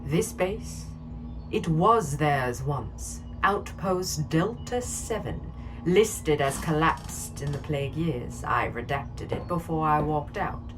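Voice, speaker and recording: A woman acting a stern, weary rebel colonel in a sci-fi audio drama, clipped, grave and authoritative. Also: sits low. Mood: grave, commanding. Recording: echoey hall, clear background noise.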